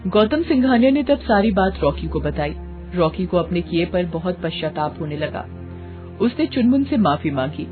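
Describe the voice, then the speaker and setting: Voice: medium pitch, sing-song, moderate pace. Thin, phone-like storybook narrator. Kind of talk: scripted Hindi storytelling narration. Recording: phone-like thin sound, music behind.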